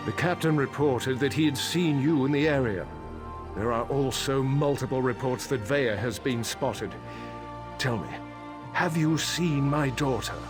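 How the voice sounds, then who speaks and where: Casting: man playing middle-aged councilor, stern protective father, unhurried authority, mid-pitched, game dialogue with faint music. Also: close to an everyday voice. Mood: firm, concerned. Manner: authoritative, measured. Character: stern, commanding noble councilor and worried father. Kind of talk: video game voice acting.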